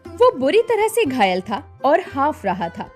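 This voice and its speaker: Woman storyteller, high lively voice, slow, scripted cartoon narration. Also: soft background music.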